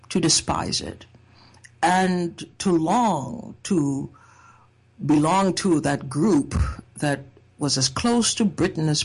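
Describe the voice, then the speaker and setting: A woman from Trinidad giving a reflective personal talk in a measured, thoughtful way, with wry humor. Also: low-pitched, darkish tone, breathy edge, poor audio. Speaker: speaker sharing a personal essay.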